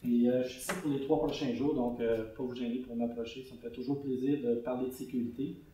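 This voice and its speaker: Québécois man presenting at a conference, mellow voice, heard from a distance in a reverberant hall. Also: medium pitch, fairly even intonation, fast talker. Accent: Québécois French. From Canada (Quebec). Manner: conversational, explanatory with many fillers. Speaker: conference speaker. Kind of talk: conference talk answering questions. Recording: echoey hall recorded from far off.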